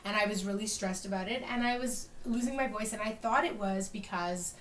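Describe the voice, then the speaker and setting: A woman's voice: mid-range voice, a little fast. A woman co-hosting a casual chat, effusive and telling stories. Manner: warm, effusive, storytelling. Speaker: co-host. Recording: echoey room, mic at a distance, faint hiss.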